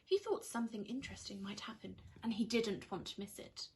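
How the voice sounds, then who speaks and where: A woman reading a children's story aloud like a primary school teacher, with expressive, dramatised narration. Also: medium pitch, fairly quick.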